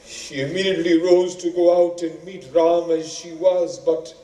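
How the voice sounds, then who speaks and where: A man narrating an epic as a stage actor, dramatic and deliberate, with weighty pauses. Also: a little high, flat delivery, dark tone. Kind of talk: theatrical epic storytelling. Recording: roomy, echoing, distant microphone.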